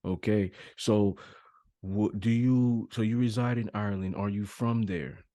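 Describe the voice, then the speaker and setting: A man's voice: on the low side, steady tone, measured pace, dark tone, dry recording, studio quality. A man hosting a podcast, interviewing in an informal, conversational way. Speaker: podcast host.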